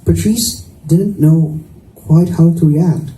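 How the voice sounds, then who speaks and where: A man's voice: on the high side, slow, dark tone. A man reading scripted narration from a romance novel aloud in a roomy indoor space. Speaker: reader. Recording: echoey room, distant mic, faint noise.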